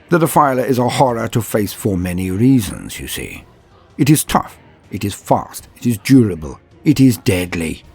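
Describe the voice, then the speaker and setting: A man's voice: medium pitch, a little mellow, slightly rough. A man hosting and narrating scripted fantasy wargame lore in a theatrical, florid, formal manner. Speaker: host and narrator of a tabletop wargame lore channel.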